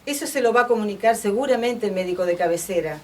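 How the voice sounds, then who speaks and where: A woman's voice: mid-range voice, lively intonation, fast talker, darkish tone, lightly acted. A woman acting a matter-of-fact hospital nurse in a radio drama. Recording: distant mic, faint room noise.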